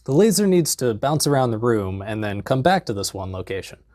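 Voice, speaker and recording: A young man in his twenties with a lapel mic in a basement, narrating a comedic DIY tutorial in a deadpan, jokey way with mumbled asides. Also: medium pitch, a little fast, no room sound, clean studio sound. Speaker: DIY maker vlogger. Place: basement workshop, indoors.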